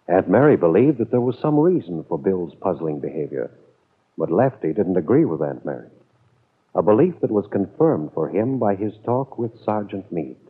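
A man's voice: low-pitched, very animated, normal speed, slightly rough. A man reading scripted narration for an old-time radio drama serial. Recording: dull, narrow vintage radio recording.

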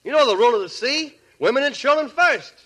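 A daffy, eager buddy in a 1940s radio comedy. His voice is very high and sharp, and he delivers silly logic earnestly. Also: expressive, fast talker, exaggerated voice. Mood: cheerful, earnest. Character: goofy, eager male pal with silly logic.

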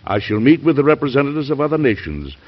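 An actor playing an earnest, stubborn, idealistic American president in formal, oratorical old-time radio drama style. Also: male voice, medium pitch, very animated, quick pace. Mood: resolute. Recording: muffled old radio broadcast recording.

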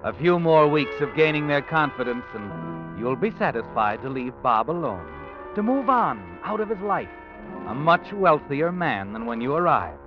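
An actor playing an ominous, knowing narrator of an old radio suspense play, addressing the listener with insinuation. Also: male voice, a little high, sing-song, moderate pace. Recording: dull old radio recording, loud music behind.

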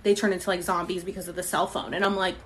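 A woman's voice: on the low side, level tone, fast, a little hiss or hum. Chatty young woman rambling on a live stream. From Puerto Rico. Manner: loose and chatty, many ums and likes, swears casually. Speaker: podcast host answering live comments. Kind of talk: casual rambling chat. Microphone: phone during a live stream.

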